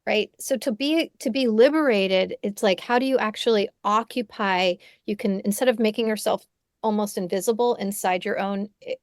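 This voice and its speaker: A woman's voice: mid-range voice, normal speed, no room sound, clean studio sound. A woman in her forties, a podcast host and psychotherapist, speaking thoughtfully in conversation, often restarting and checking in with "right?". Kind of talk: reflective conversational interview. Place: home room. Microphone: headphones on a video call.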